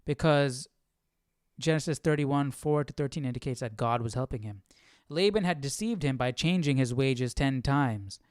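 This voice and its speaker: A man hosting a casual live Bible study, reading aloud and thinking out loud in an informal, slangy way. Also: mid-range voice, normal speed, dry recording, clean studio sound.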